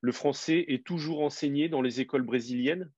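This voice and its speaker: A man who teaches French, hosting an interview and asking quiz questions clearly and encouragingly. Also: medium pitch, a little fast, a little mellow, dry recording, lo-fi sound. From France.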